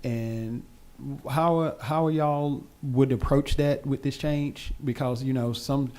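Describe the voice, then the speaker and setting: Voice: medium pitch, steady tone, slow pace, darkish tone. A board member asking careful, polite questions at a meeting.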